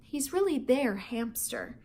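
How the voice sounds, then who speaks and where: A woman voicing a kindly older woman, a teacher and wife, speaking softly and often in a hush. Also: sits high, big pitch swings, slow pace, slight background noise, exaggerated voice. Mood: gentle.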